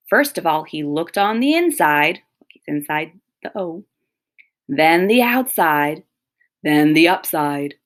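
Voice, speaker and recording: A woman reading a children's picture book aloud expressively, pausing to comment and explain to young listeners. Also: sits low, fairly bright tone.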